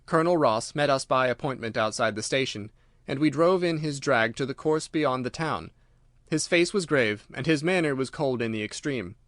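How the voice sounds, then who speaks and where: A man's voice: mid-range voice, moderate pace, fairly bright tone, no room sound, studio quality. A man reading scripted literary narration as an audiobook narrator.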